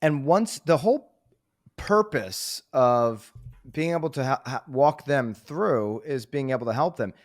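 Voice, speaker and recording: A man's voice: mid-range voice, lively intonation, a little slow. Easygoing man podcast co-host. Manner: loose and easygoing, trails off with fillers. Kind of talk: casual business podcast discussion.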